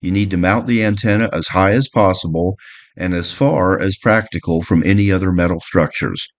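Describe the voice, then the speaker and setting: A man's voice: sits low, normal speed. A man presenting a boat electronics guide in an instructional, explanatory narration.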